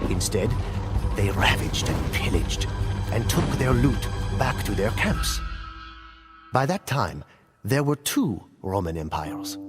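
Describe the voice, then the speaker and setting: A man giving solemn, measured, scripted dramatic narration in the manner of a storyteller for a game cutscene. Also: fairly low pitch. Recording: music playing under the voice. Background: clear background music.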